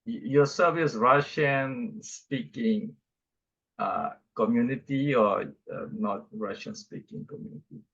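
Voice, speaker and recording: A man's voice: medium pitch, takes it slowly, vocal fry, fairly bright tone, slightly rough. A man interviewing in broken English, asking questions and checking with tags. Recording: dull lo-fi audio.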